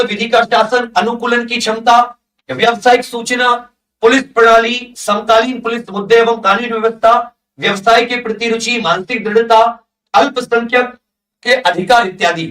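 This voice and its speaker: A man's voice: extremely high pitch, moderate pace, a bit bright. A man teaching an exam class, reading out syllabus items one by one with short comments and English technical terms mixed in. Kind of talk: lesson, reading through a syllabus list with brief comments. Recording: echoey room, distant microphone.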